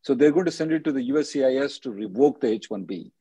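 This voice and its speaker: A man's voice: mid-range voice, animated, quick pace, dark tone, husky, no room sound. A man hosting a call-in as an attorney, advising callers in a blunt, directive way and often checking with "okay?".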